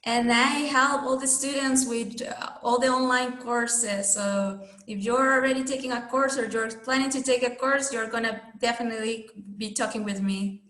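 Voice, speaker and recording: A woman's voice: on the high side, steady tone, normal speed, few pauses, fairly bright tone. A woman from Costa Rica introducing herself as a project specialist on a video call, friendly and welcoming. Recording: echoey room.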